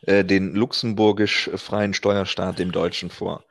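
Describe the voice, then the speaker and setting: A man's voice: sits low, flat delivery, a little slow, vocal fry. A man in his thirties co-hosting a casual podcast chat, joking and self-mocking, laughing often. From Germany. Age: 30s. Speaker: podcast co-host.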